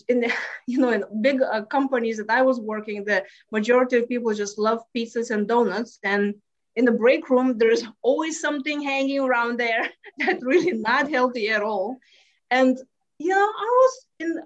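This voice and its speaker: A woman's voice: a little high, muffled recording. A woman in her forties with a Lithuanian accent, a stress management coach telling her story in an interview, with many fillers and slightly broken English.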